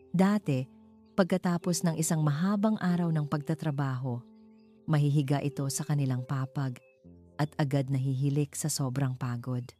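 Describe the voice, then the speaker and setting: A woman with a Filipino accent reading a scripted story in a measured, dramatic storytelling manner. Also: deep voice, mellow. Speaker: narrator.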